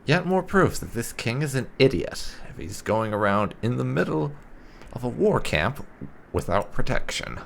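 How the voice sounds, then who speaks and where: A man's voice: mid-range voice, big pitch swings, normal speed, vocal fry, slight background noise, pitched above the performer's own voice. A man doing a sardonic young elf recruit. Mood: dry. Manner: dry and sarcastic. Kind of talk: roleplaying a game character.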